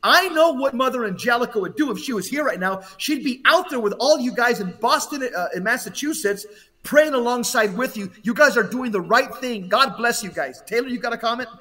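A man's voice: extremely high pitch, animated, a little fast, pushed and bright, far from the mic. A man giving impassioned talk commentary in an emphatic, preaching way, driving points home with rhetorical questions.

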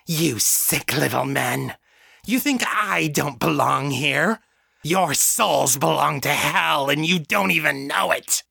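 A man's voice: a little high, animated, slow, creaky, pushed and bright, husky, no echo, clean studio sound, pitched above the performer's own voice, full character voice. A man voicing a teenage girl who puts on a sneering, mocking demon voice. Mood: mocking malice.

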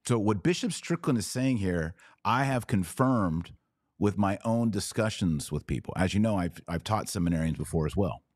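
Male podcast host, low voice in a dry, close space, emphatic informal commentary. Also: expressive, moderate pace, a little mellow, dry recording. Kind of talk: informal commentary on a played clip.

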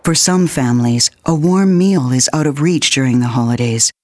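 A man's voice: mid-range voice, normal speed, a little mellow. A man reading a scripted charity appeal as an announcer.

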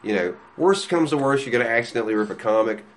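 A man's voice: mid-range voice, lively intonation, fast. A man who runs a comic shop, chatting casually with many restarts and frequent laughs. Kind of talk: casual chat.

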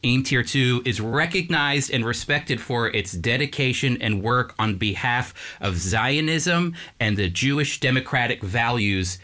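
A man's voice: medium pitch, expressive, a little slow, hardly any pauses, sharp tone, no room sound. A man hosting a faith-based radio show, earnest and conversational, often restarting his sentences as he introduces and interviews a guest. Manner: earnest, with frequent restarts and fillers. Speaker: radio show host and teacher.

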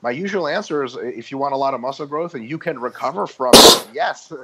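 A man's voice: mid-range voice, expressive, fairly quick, very noisy. A man explaining muscle training in a casual podcast discussion, talking through his points with frequent ums and restarts. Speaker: guest expert.

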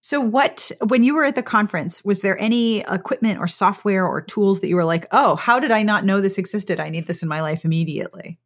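A woman hosting a podcast, chatting casually and reacting with expressive enthusiasm. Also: mid-range voice, brisk, few pauses. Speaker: podcast host. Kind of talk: casual interview chat.

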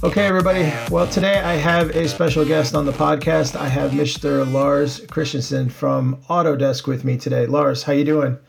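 A man hosting a podcast interview, speaking in a friendly, halting way with many fillers. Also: on the high side, flat delivery, gravelly, music in the background. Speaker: podcast host. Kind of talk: casual interview.

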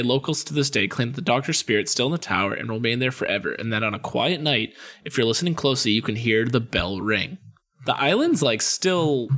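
A man's voice: medium pitch, fairly bright tone. A young American man with a mid-range voice co-hosts a podcast. He tells stories casually, sliding between scripted narration and slangy, jokey asides. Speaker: podcast co-host. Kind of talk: casual comedic storytelling, reading a script with asides.

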